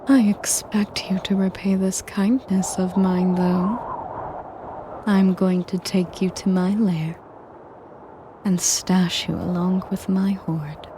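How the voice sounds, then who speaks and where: A woman playing a sadistic, possessive female dragon in an intimate audio roleplay, speaking in a measured, formal, breathy and commanding way. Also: mid-range voice, noisy background. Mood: haughty, possessive. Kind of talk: slow, intimate audio roleplay addressed to the listener.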